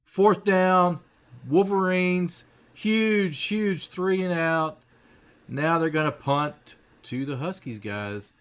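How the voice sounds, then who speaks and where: A man calling a football game live for his viewers, his voice sitting a little high for a man and worn rough. He chats casually, clears his throat now and then, and lifts his voice excitedly when a big play happens. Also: slow. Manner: chatty, talks straight to viewers, rises excitedly on big plays. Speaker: livestream play-by-play host.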